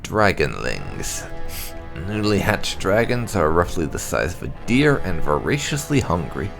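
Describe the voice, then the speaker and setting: Male narrator for fantasy codex readings, deep pitch, gravelly edge, music underneath. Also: wildly swooping pitch, unhurried. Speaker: gaming channel host. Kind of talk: scripted narration, reading lore entries aloud.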